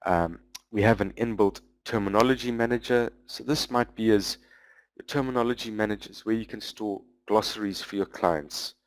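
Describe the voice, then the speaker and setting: Man, lowish voice, dry close sound, webinar presenter, explanatory, pauses with "um" often. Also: dry recording. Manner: explanatory, walking through product features; loose, with frequent "um" pauses. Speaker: business development manager presenting a webinar.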